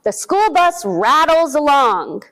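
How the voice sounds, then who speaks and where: A woman with a high, very lively voice reads a picture book slowly to little children. She stops often to ask them questions, drawing them into the story. Her pitch swings widely from line to line. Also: poor audio. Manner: slow, animated, speaking to young children with questions. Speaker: children's librarian reading aloud.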